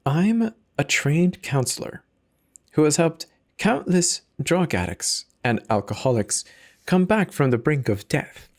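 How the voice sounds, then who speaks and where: A man's voice: on the high side, lively intonation, unhurried. A man giving a dramatic scripted narration of a horror story. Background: music.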